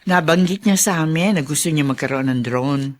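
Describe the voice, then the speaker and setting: A woman's voice: low-pitched, moderate pace, close to an everyday voice. A female actor playing a gracious, grateful visitor in a radio drama, polite and earnest. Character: gracious, grateful adult gift-bearing visitor praising goodness.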